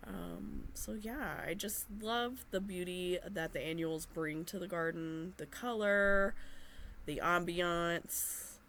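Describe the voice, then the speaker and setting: A woman talks through her gardening in a gentle, slow and easygoing manner, often pausing on little fillers. Her voice sits low and sounds a touch nasal, as if she is fighting a cold. Faint noise hums under the recording. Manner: gentle, unhurried, with frequent fillers. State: slightly nasal from a cold or allergies. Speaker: gardening vlogger. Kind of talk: voice-over narration of gardening.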